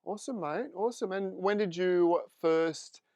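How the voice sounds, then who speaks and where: A man's voice: sits high, moderate pace, a little mellow, no echo. A man with an Australian accent hosting a live stream interview, chatting informally and upbeat. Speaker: host. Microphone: desk microphone.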